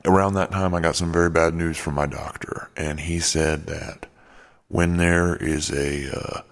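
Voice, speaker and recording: A man voicing a gruff, defensive road comic and secret werebear, rambling and halting in an improvised comedy radio play. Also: a bass voice, steady tone. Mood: grave, halting. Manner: halting, rambling, irritable drawl with malapropisms. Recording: long reverberant echo.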